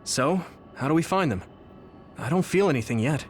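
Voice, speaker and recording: A man's voice: a little high, expressive, normal speed, background noise, natural voice. A man playing an earnest, determined young hero in an English game dub, with music behind him. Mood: curious.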